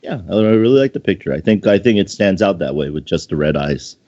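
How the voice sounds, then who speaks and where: A laid-back man with a lowish voice chats off the cuff as a contest judge. He has a joking, informal way of talking, on a dry, dull lo-fi recording. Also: lively intonation, fairly quick, dark tone, no echo. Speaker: online contest co-host and secondary judge. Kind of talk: casual unscripted chat.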